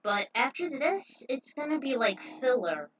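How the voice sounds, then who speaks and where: A woman narrating her own story casually, pausing for halting, informal asides to viewers. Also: high voice, sing-song, a bit bright, dull audio, slight background noise. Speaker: fan fiction author narrating own story.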